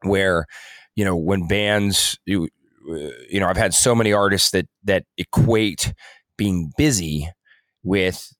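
A man's voice: sits low, slow, fairly bright tone, no echo, studio quality. A man co-hosting a podcast, talking casually and reflectively as he works through his points. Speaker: podcast co-host. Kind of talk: casual discussion.

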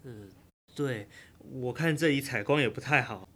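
A composed, slyly playful insurance agent in a radio drama, voiced by a man. His is relaxed, and he speaks with unbothered calm. There is a teasing smugness under every polite phrase. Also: medium pitch, moderate pace, husky. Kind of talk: audio drama acting.

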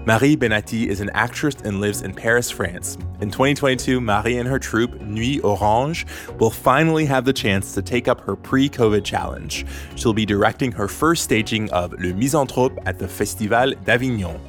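A man hosting a podcast, reading a scripted story in a measured, clear way. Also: fairly low pitch, fairly bright tone, soft background music. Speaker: podcast host. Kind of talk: scripted narration.

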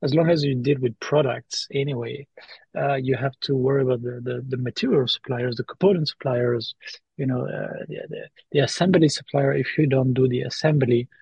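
A man's voice: mid-range voice, normal speed, vocal fry, slightly rough, no room sound, dull audio. A man hosting a podcast in casual chat, often repeating words and tagging his points with "right?". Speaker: podcast host.

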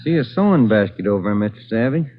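An actor playing a detective sergeant and partner in a 1940s radio drama, making plain, observant remarks. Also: male voice, fairly low pitch, big pitch swings, quick pace. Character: steady police partner on a case. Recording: dull, noisy 1940s radio recording.

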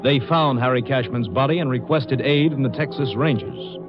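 A dull old radio recording with prominent music under the voice. An American man of medium pitch reads scripted narration in 1950s crime drama style. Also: moderate pace.

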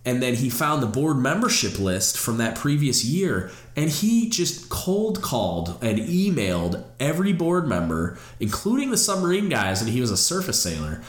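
A man's voice: medium pitch, sing-song, moderate pace, few pauses, roomy sound. A man hosting a podcast, sounding nasal and stuffed up from a cold, earnest and encouraging as he talks. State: stuffed up and congested. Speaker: podcast host. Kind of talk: solo mentoring podcast talk.

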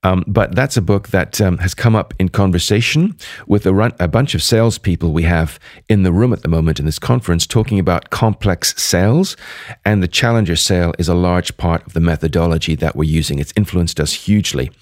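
A hoarse man hosting a podcast, wrapping up a solo episode and previewing guests, talking rather quickly with frequent restarts. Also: deep voice, no echo, studio quality. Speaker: podcast host. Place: at a sales conference.

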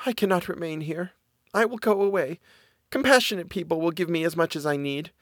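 Male narrator as fairy-tale maiden, raised pitch, soft, meek, resigned. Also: fairly quick, dry recording, studio quality. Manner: soft, submissive, plaintive. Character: miller's pious, gentle, resigned young daughter.

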